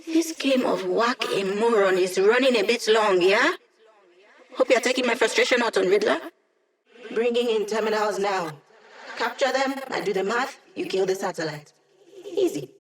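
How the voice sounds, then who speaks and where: A woman's voice: on the high side, expressive, normal speed, recorded from a distance, close to an everyday voice, rough recording. Game role, woman actor: young female hacker as a digital projection, teasing, sassy, sure of herself, dry sarcasm. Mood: cocky. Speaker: video game voice actress. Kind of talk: video game character voice lines.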